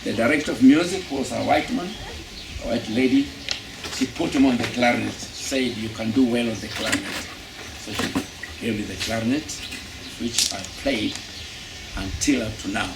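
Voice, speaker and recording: A man with a Kenyan accent, a musician recounting his life story in an unhurried, reminiscing interview. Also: medium pitch, creaky. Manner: unhurried, reminiscing, repeats himself. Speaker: musician and instrument technician. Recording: roomy, distant, loud background noise.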